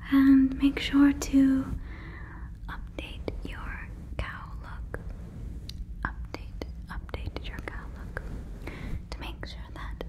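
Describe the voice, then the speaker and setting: A woman's voice: sits high, dark tone. A woman playing an earnest, doting alien in an ASMR roleplay, speaking close to the mic in soothing, stilted, repetitive phrases. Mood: secretive. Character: earnest, doting female alien convinced listener is a cow. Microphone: close fluffy microphone.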